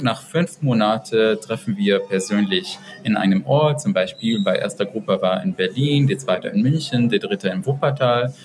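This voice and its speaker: A man from Israel answering interview questions in halting speech, often searching for words and restarting sentences. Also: lowish voice, a little mellow, a little hiss or hum. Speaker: dialogue group organizer.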